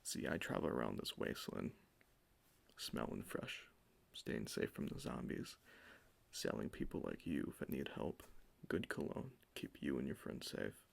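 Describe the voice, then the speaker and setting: A man doing an ASMR roleplay in a soft, mostly whispered, gravelly voice, close to the mic. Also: rumbling low, lively intonation, measured pace. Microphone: close sensitive ASMR microphone.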